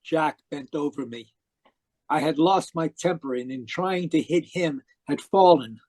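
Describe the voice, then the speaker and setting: An actor playing an obsessive, domineering uncle in an old-style radio mystery, with theatrical, emphatic delivery. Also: male voice, fairly high pitch, measured pace, darkish tone. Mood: grave, haunted narration. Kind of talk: 1940s radio mystery acting, narrating and dialogue. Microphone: video call. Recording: muffled-edged online video call.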